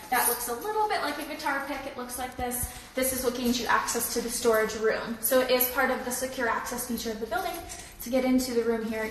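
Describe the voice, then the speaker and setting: A young woman with a slightly raspy voice from a cold, giving a friendly, chatty live tour of an apartment building. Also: a little high, a little hiss or hum. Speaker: leasing tour host. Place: echoey empty indoor rooms. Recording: echoey room from far off.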